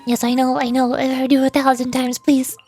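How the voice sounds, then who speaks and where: A woman with a high voice hosts a casual gaming stream, with music playing faintly behind her. She rambles playfully and mutters small asides to herself as she plays. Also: level tone, fairly quick, a little mellow, breathy edge. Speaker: livestream host, VTuber playing a video game.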